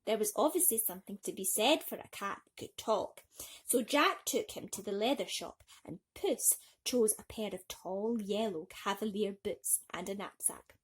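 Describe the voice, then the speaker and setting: A woman's voice: medium pitch, normal speed, breathy edge. A woman storyteller reading a bedtime story to children theatrically, speaking directly to young listeners.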